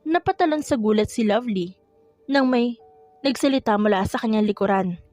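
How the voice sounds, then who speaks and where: Female audiobook narrator for a romance tale: higher register, dry recording, light musical bed. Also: lively intonation, normal speed, darkish tone, no echo, faint music behind. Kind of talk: scripted romance story narration.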